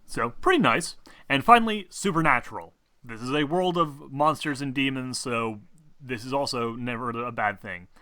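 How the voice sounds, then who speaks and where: A man explaining game rules as game master in a casual, halting, rambling way, often clearing his throat. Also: mid-range voice, lively intonation, pushed and bright, dry recording. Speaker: game master of a tabletop role-playing game.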